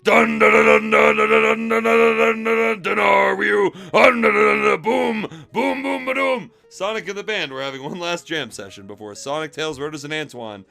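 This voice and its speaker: A man doing a mocking dramatic reading on a livestream, hammy and prone to breaking into shouts and laughter. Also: sits high, normal speed, creaky, hardly any pauses, soft background music. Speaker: streamer reading fan fiction aloud.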